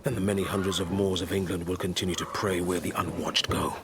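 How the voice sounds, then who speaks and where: An actor playing a proud, devout older Moorish stableman in a period radio drama, solemn, measured and poetic. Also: deep voice, level tone. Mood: resolute. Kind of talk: period radio drama acting, lyrical speech. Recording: clearly noisy stable ambience behind.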